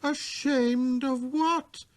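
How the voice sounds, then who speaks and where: Man putting on a high-pitched, curious little boy. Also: fairly even intonation, extremely slow, no echo, heavily acted. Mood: concerned. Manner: questioning, insistent. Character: little prince, small curious persistent boy from another planet.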